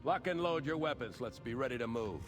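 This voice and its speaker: A middle-aged actor playing a seasoned starship captain who gives orders in a measured, commanding way. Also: male voice, medium pitch, lively intonation, over music, lightly acted. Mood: grave. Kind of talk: game cutscene voice acting.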